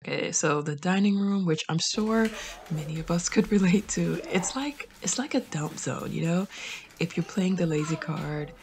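A woman in her thirties narrating her home cleaning vlog in a candid, chatty way, trailing into soft asides. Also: on the low side, lively intonation, moderate pace, background noise. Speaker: cleaning vlogger. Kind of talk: casual voice-over narration.

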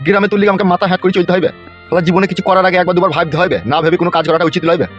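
Cartoon village dad, a man acting: blunt, exasperated, high for a man, muffled audio. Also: level tone, racing, close to an everyday voice. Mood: scolding. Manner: scolding, coarse, blunt. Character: gruff, exasperated middle-aged village father. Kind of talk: comic cartoon dialogue. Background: faint music.

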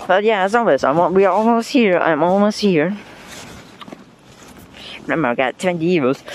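A man's voice: sits high, background noise. A young man with a German accent, rambling and self-deprecating as he vlogs on a walk, swearing casually. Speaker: vlogger. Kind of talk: casual walking vlog. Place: outdoors on streets and on a bus. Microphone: phone held while walking.

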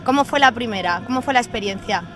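Quick high-voiced woman interviewer. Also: over loud music. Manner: brisk presenter delivery. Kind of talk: reporter questions. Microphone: handheld interview mic.

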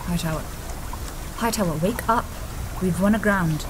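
Woman doing guarded adventuress, lowish voice, measured, jungle ambience behind. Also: background noise, close to an everyday voice. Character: sharp-tongued woman adventurer. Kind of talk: radio serial acting.

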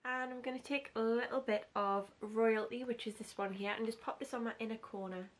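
A young woman in her twenties with a husky voice, chatting casually through a makeup tutorial in a small bedroom, gushing over products. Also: fairly high pitch, fairly even intonation, brisk. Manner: chatty and gushing, heavy use of "like". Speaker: beauty vlogger.

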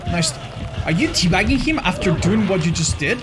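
Guy streaming a game, super animated voice jumping up and down, repeats himself when excited, music behind. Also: high-pitched, normal speed. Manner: animated, exclamatory, repeats phrases for emphasis. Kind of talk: excitable live gameplay commentary to chat. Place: indoor streaming room.

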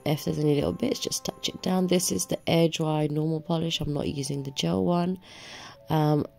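A woman's voice: sits low, fairly even intonation, normal speed, gravelly, a little mellow, soft background music. A young woman with a cold and a nasal, sore-throated voice, gushing enthusiastically through a nail product voiceover. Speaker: nail vlogger.

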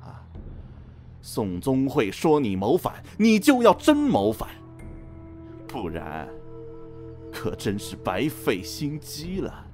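A man's voice: medium pitch, wildly swooping pitch, slow pace, long pauses, a little mellow, soft background music. A man playing a cold, menacing general of ancient China in a costume radio drama, commanding and taunting. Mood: taunting, bitter.

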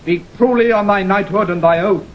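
Guy doing a medieval marshal, high voice, very ceremonial proclaiming, shakespeare audio play. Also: fairly quick, lo-fi sound, natural voice. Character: formal medieval Lord Marshal proclaiming at lists. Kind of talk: classical Shakespearean audio drama acting. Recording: noisy 1960 audio drama recording.

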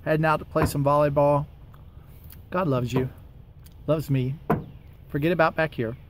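A man's voice: on the high side, steady tone, normal speed. An American man in his forties giving casual, earnest encouragement to the camera, speaking conversationally outdoors into a handheld device. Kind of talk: casual devotional talk to camera. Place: outdoors by a wooded parking lot. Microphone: camera or phone at arm's length. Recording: outdoor ambient noise.

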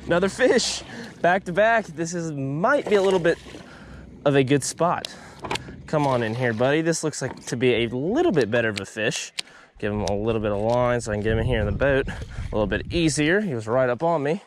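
A man's voice: medium pitch, animated, a little fast, noisy background. A young man, chatting upbeat to viewers while fishing from a small boat outdoors, recorded on an action camera. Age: young adult. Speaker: fishing vlogger. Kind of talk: casual vlog commentary.

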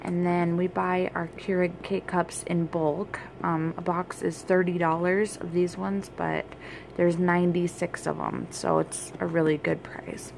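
A woman's voice: fairly low pitch, little pitch movement, measured pace, creaky, darkish tone, a little hiss or hum, rough recording. A woman vlogger chatting casually at home, listing groceries with lots of fillers and filming on a handheld phone. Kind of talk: casual grocery haul show-and-tell. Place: home kitchen and dining room, indoors.

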